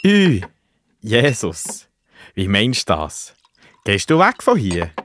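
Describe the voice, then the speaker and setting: Lively, earnest disciple John played by a man. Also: on the low side, takes it slowly, noisy background, full character voice. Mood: worried, puzzled. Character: John, an earnest, grateful young male disciple. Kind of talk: children's Bible audio play.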